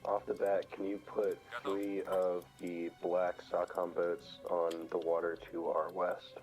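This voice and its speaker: A man's voice: deep voice, monotone, measured pace, a little mellow. A man talking casually as a squad's ground commander over online game voice chat.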